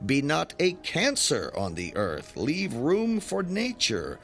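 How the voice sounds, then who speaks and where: Radio drama skeptic: flippant, exasperated everyman, highly expressive range, dry sarcasm. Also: slow pace, creaky, slight background noise, full character voice. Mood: mocking, defiant. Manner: wry, sardonic delivery. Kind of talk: modern radio drama acting.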